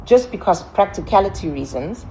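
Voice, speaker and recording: A woman giving reflective interview answers, pausing often with fillers and restarting her sentences as she works out her thoughts. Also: medium pitch, animated, dark tone, a little hiss or hum, rough recording. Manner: hesitant, frequent fillers and restarts. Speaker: interviewee, farm founder.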